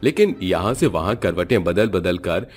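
A man's voice: sits low, expressive, moderate pace, darkish tone, soft background music. A man narrating a scripted cartoon story.

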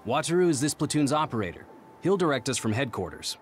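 Man acting an animated, affable platoon captain. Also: mid-range voice, fast, slight background noise, lightly acted. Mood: commanding. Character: affable, composed male military platoon captain. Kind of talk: English anime-game voice acting. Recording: faint music behind the voice.

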